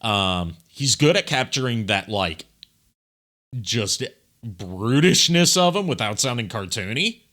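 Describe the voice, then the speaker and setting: A man's voice: medium pitch, animated, takes it slowly, bright, forceful tone. A man giving casual commentary over a video as a streamer, explaining things in a rambling way. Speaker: streamer, amateur voice actor.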